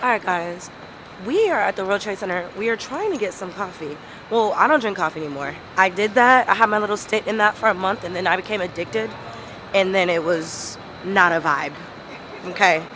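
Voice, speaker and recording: A woman's voice: mid-range voice, lively intonation, brisk, background noise. A woman vlogger talking casually to her viewers, her voice stuffy and congested from a cold. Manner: chatty, informal, addresses viewers as y'all. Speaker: vlogger host. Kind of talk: casual vlog chat to camera.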